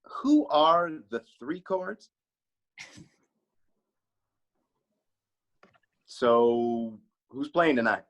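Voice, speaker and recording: An actor playing a sassy, teasing honky-tonk cowboy with a husky voice and dry, playful sarcasm at a table read. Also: male voice, mid-range voice, animated, slow, fairly bright tone, dull audio.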